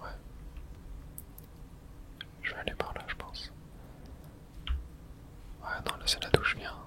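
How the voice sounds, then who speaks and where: A man speaking in a breathy, unvoiced whisper, giving hushed ASMR commentary on a video game and thinking aloud in short remarks. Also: takes it slowly.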